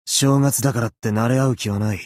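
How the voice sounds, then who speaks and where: A man's voice: medium pitch, quick pace, a little mellow, no room sound, lightly acted. A man voicing an aloof, irritable young loner swordsman in a Japanese game, curt and unsociable. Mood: curt, annoyed. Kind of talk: Japanese game character voice lines.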